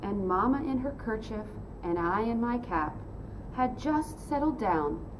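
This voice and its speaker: A woman reading a holiday poem aloud in a steady, even way. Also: a little high, unhurried, noisy background.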